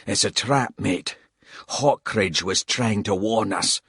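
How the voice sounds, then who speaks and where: A man voicing a gruff, glowering male soldier who talks in blunt, clipped phrases. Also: lowish voice, slow, fairly bright tone, no echo, studio quality, exaggerated voice. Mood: grim.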